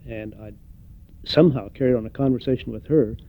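An older man giving an oral history interview, reflective and hesitant, pausing often with fillers. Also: mid-range voice. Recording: tinny phone-like recording.

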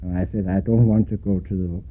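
An older man from Denmark with a Danish accent, recalling his life in an oral history interview, pausing often and spelling out names letter by letter. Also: low-pitched, steady tone. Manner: slow, spells out names letter by letter, many hesitations. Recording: tinny lo-fi recording with faint hiss.

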